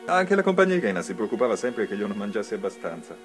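A weary revolutionary man, defensive with his lover. His voice sits slightly low and moves quickly, in radio-drama style. Music runs clearly underneath. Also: big pitch swings. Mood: subdued, recounting. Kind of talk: theatrical radio drama dialogue.

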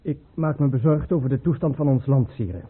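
An actor playing an anxious, dutiful crown prince in a radio play, speaking formally and deferentially. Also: male voice, mid-range voice, fairly quick, husky. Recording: tinny, muffled old radio recording.

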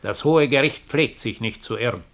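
An actor playing an eerie doppelganger and confessed murderer, speaking in a solemn, measured, declamatory way in 1960s German radio drama style. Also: male voice, mid-range voice, a bit bright, close to an everyday voice. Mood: calm, commanding.

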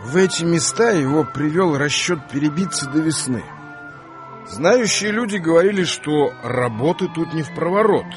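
A man's voice: fairly high pitch, lively intonation, moderate pace. A man reading dramatised literary narration for a radio play, with music behind him.

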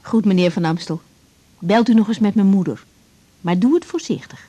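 A woman's voice: mid-range voice, normal speed, warm tone, lightly acted. An actress in a radio drama playing a young female doctor who is tactful, reserved and patient. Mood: gentle. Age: young adult. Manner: careful, tactful, a little hesitant. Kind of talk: 1980s Dutch radio drama acting.

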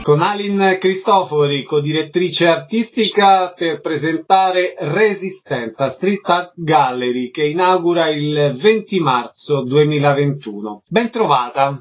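A man's voice: on the high side, expressive, unhurried, hardly any pauses, faint music behind. A man hosting a radio interview, putting prepared questions and introductions to guests. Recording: dull muffled sound, faint noise.

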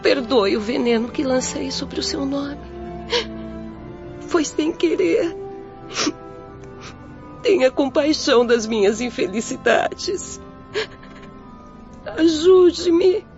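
A high-voiced, weeping young daughter. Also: female voice, animated, slow, pauses often, darkish tone, full character voice. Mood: tearful, pleading. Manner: tearful, imploring. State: crying. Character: grief-stricken, frightened young daughter begging her father. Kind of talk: radio drama acting. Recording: dull lo-fi audio under music.

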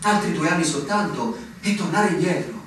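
An actor playing a weary, cynical senior army officer in a theatrical radio play, declamatory, swinging from outbursts to murmured resignation. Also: male voice, a little high, moderate pace, natural voice. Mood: weary, resigned. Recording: echoey room, distant mic, faint noise.

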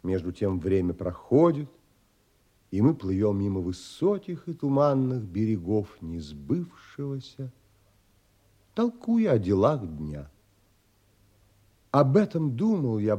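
An actor playing a wistful romantic man who narrates his longings in a measured, contemplative and expressive way, as in a literary audio play. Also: mid-range voice, breathy edge, heavily acted.

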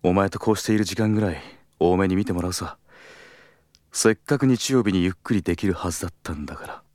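Man playing a deep-voiced, seductive senior colleague. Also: fast, a little mellow, natural voice. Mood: calm, intimate. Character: calm, seductive, confident senior male colleague and lover. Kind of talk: Japanese audio drama acting.

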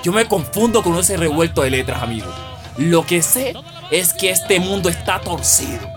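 Animated Colombian family man. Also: sits high, normal speed, music in the background. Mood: resigned. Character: cheerful poor husband and father out drinking, folksy. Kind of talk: radio drama acting.

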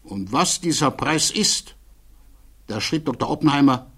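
An actor playing an earnest defense attorney in a German radio play, delivering a formal, measured plea with emphatic rhetoric and deliberate pauses. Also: male voice, medium pitch, expressive, fairly bright tone, breathy edge, exaggerated voice. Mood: solemn. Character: defense attorney pleading earnestly before a security hearing.

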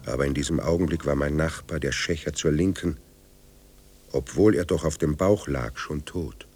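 Deep, creaky dead writer. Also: male voice, normal speed, a little mellow, full character voice. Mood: haunted, reflective. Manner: ironically courteous, formal. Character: dead male writer, restless, wry, polite, weary. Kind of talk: radio play acting, monologue and dialogue.

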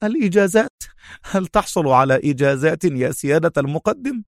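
A man as high-pitched, strained injured comrade. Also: sing-song, brisk, creaky, no room sound, clean studio sound. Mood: curious, surprised. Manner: strained, protesting. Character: injured male comrade, anxious, self-doubting, deferential.

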